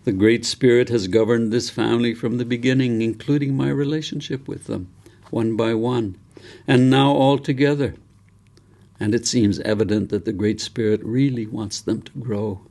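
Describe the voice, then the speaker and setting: A man voicing a wise, trusting old grandfather who speaks reflectively and deliberately in a novel. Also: medium pitch, fairly even intonation, creaky, darkish tone, lightly acted.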